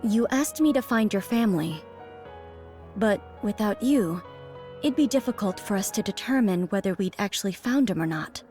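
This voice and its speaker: An actress playing a composed, dutiful female escort in a video game, speaking politely in a measured, formal way. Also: mid-range voice, expressive, mellow, soft background music, natural voice. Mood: steady reassurance. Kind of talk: game cutscene acting.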